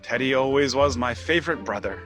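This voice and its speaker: An actor playing a witty, dry-humored young drama critic in an amateur audio drama. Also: male voice, medium pitch, fairly quick, music in the background, close to an everyday voice. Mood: wry. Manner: dry, wry banter.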